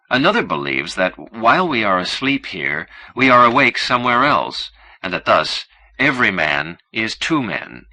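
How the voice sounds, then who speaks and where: A man reading literary fiction as an audiobook narrator, in a measured, formal and precise manner. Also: deep voice, pushed and bright, dry recording, poor audio.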